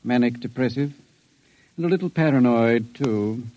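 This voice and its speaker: An actor playing an intelligent, sensitive long-term sanitarium patient in an old radio drama, speaking in a measured, cautious, educated way. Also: male voice, mid-range voice, no echo, muffled recording, lightly acted. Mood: dry disdain.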